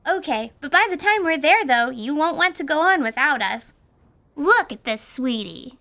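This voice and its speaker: A woman's voice: high voice, animated, normal speed, sharp tone, full character voice. A woman voicing a bold, blunt little girl in a radio drama, speaking frankly. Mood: chatty. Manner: frank, chattery, plain-spoken.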